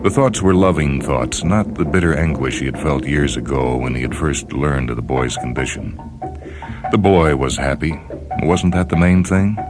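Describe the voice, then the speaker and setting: A man hosting and reading a story as scripted dramatic narration, in a deliberate, measured manner. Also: extremely low pitch. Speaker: host and story reader. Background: music under the voice.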